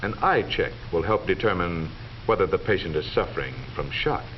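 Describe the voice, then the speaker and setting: Deep-voiced American man, vintage film narrator. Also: lively intonation. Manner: formal, measured, authoritative delivery. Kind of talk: 1940s scripted educational film narration. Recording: dull vintage 1940s film soundtrack, faint noise.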